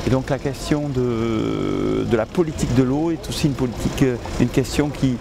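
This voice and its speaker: A middle-aged man giving spontaneous interview answers as a local official, halting often to search for words. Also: mid-range voice, creaky. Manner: hesitant, halting delivery with many pauses and restarts. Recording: outdoor traffic and ambient noise.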